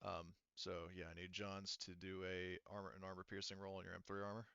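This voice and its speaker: A man running an online tabletop game as game master, explaining rules haltingly as he thinks aloud. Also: low-pitched, completely flat delivery, vocal fry, pushed and bright, smooth, dry recording, highs cut off.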